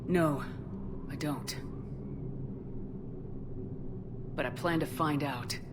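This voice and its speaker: Low-voiced, firm female commander. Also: unhurried, background noise. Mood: resolute. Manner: firm, clipped. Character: resolute, terse female military commander.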